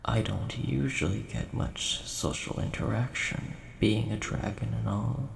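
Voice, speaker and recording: A man voicing a large, mild-mannered, nerdy dragon with a gravelly voice and a polite, easily offended manner, in a scripted audio roleplay spoken to the listener. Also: lowish voice, steady tone. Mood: warm, chatty. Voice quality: gravelly, creaky. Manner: polite, measured, mildly indignant. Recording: roomy echo, faint background hiss.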